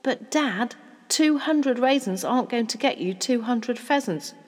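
An eager, wide-eyed young boy from a storybook, acted by a woman, pleading and insistent. The voice is pitched high and swoops about, breathless, with long reverb around it. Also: animated, measured pace. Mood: doubtful, eager. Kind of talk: children's storybook character acting.

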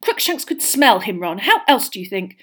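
A woman's voice: very high voice, lively intonation, moderate pace, darkish tone, voice pushed up. A woman voicing an earnest, impatient teenage schoolgirl in an audiobook, insistent and anxious in manner. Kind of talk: audiobook character voice.

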